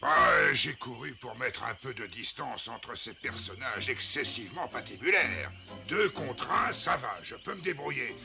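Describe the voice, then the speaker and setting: A proud, grumpy cartoon rhinoceros. The voice is thick with gravel and swings widely in pitch, blustering along over loud music. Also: male voice, lively intonation, measured pace. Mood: boastful. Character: gruff, proud, blustering adult male rhinoceros. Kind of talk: French-dubbed cartoon acting.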